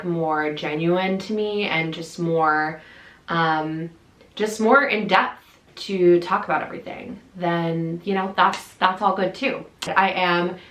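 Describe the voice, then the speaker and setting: A woman in her late twenties chats candidly to her camera, loose and full of fillers. Her voice sits a bit low. The sound carries a roomy echo. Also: fairly bright tone. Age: late 20s. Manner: chatty and candid, many fillers and restarts. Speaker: content creator. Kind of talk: casual talk to camera. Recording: echoey room.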